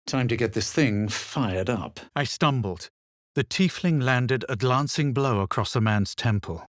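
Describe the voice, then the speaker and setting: Fantasy game hero, male actor: self-assured, brooding spellcaster, husky and expressive, measured theatrical delivery, dry close sound. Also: mid-range voice, no echo, lightly acted. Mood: grave, measured. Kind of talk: fantasy video game voice lines, combat barks and narrated recollections.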